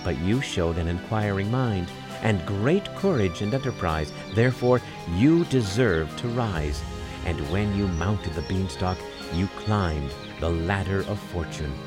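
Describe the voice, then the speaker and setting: A man's voice: deep voice, animated, unhurried. A man voicing a gracious, commanding fairy lady in a storybook, with formal, stately and benevolent speech. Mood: approving, explaining. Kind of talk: storybook character dialogue. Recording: music under the voice.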